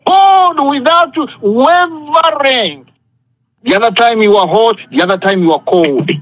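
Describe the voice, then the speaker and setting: A man's voice: very high-pitched, measured pace, a bit bright, no room sound, poor audio. A man preaching an impassioned sermon, speaking emphatically with repetition and often calling for responses from listeners. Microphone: phone conference call.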